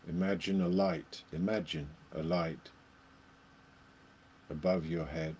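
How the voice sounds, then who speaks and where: A man leading a guided meditation, speaking in short phrases with long pauses between them. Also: low-pitched, fairly even intonation, darkish tone, a little hiss or hum.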